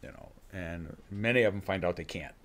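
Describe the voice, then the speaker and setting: An older man with a low-pitched voice talks about coaching fighters in a halting, searching way. He restarts his sentences again and again before he lands on a point. He is recorded close on a studio microphone. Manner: halting, many restarts, acts out fighters' thoughts. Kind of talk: casual interview talk. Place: studio room with bookshelves. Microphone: studio mic on a boom arm.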